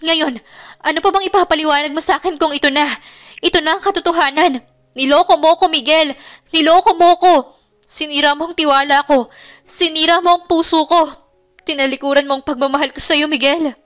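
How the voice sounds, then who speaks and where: A woman's voice: high voice, steady tone, a little fast, a bit bright, pitched above the performer's own voice. A woman narrator playing a jilted young woman who hurls tearful, wounded accusations in a melodramatic romance story, furious and heartbroken.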